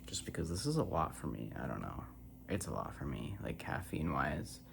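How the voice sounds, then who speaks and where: Very creaky, soft-spoken ASMR rambler. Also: rumbling low, very animated, fairly quick. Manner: casual, meandering, trailing off into near-whispers. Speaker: ASMR content creator. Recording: noisy recording with tapping and humming. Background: hum, tapping and scratching sounds.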